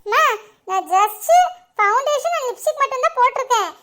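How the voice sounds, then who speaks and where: A woman's voice: extremely high pitch, animated, unhurried, bright, forceful tone, heavily acted. A woman playing a vain, playful young daughter who chatters and giggles about her makeup. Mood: chatty. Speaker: daughter in a family skit.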